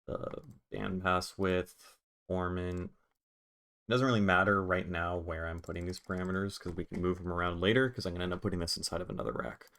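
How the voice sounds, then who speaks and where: A man hosting a casual software tutorial on music production, talking in an offhand, informal way and swearing casually. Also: low-pitched, fairly quick, vocal fry.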